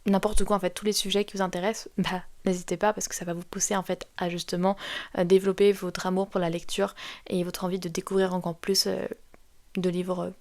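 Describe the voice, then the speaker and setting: A woman's voice: lowish voice, flat delivery, a little fast, a little mellow. A young woman from France giving casual book advice to camera, chatty and rambling with lots of fillers and restarts. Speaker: book vlogger.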